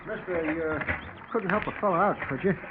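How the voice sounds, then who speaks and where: An actor playing a shabby middle-aged tramp, meek yet wary, with hesitant, folksy, slangy speech in 1940s radio drama style. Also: male voice, fairly high pitch, a little fast, close to an everyday voice. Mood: meek, pleading. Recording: tinny, noisy 1940s radio recording.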